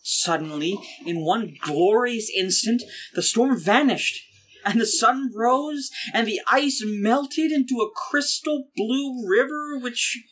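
A man's voice: extremely high pitch, takes it slowly, fairly bright tone, slight background noise, heavily acted. A man acting an earnest, hesitant, eager romantic everyman in a comic audio play. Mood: dreamy, fervent.

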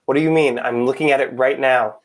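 A man's voice: mid-range voice, fairly even intonation, brisk, lightly acted, low-quality recording. A man acting in an audio play as a hapless, exasperated undercover cop, blunt and incredulous.

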